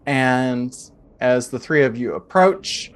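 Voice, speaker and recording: A man working as game master, narrating a tabletop adventure in a measured, descriptive, improvised way. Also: medium pitch, expressive, clean tone.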